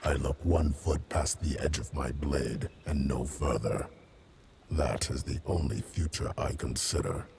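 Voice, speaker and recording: An actor playing a grim, vengeful undead killer in a game, with a gravelly rasp and a terse, menacing delivery. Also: male voice, a little slow, darkish tone, a little hiss or hum, exaggerated voice. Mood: guarded, cold. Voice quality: very deep gravelly rasp, at times breathy. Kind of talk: cinematic game acting.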